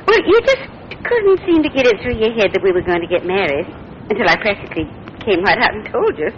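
An American actress playing a sweet, scatterbrained older wife and mother, gentle and guileless, in 1940s radio drama. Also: mid-range voice, big pitch swings, a little fast, natural voice. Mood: fondly guileless. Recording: muffled, noisy old radio recording.

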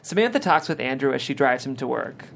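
Very low-voiced woman narrating script directions. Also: animated, fast talker, gravelly. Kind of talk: scripted narration at a table read.

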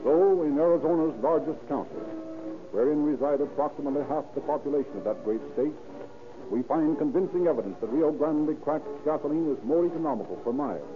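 A man's voice: fairly high pitch, brisk, over music. An American man announcing and narrating an old-time radio show from a script in a formal, declamatory style. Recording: tinny 1930s radio recording.

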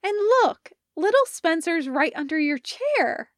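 Woman voicing high-pitched, bubbly, eager young woman. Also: sing-song, measured pace, no echo, studio quality, heavily acted. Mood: delighted. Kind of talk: storybook character voice.

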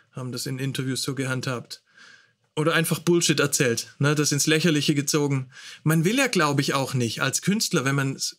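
A man's voice: mid-range voice, a bit bright. A man speaking as a host in an informal, personal lecture, thinking aloud and correcting himself as he goes. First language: German. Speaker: host teaching songwriting.

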